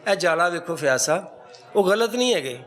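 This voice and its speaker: Pakistani punjabi guy, kinda high voice, arguing casually, keeps asking rhetorical questions. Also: expressive, brisk, fairly bright tone. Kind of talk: informal argumentative talk.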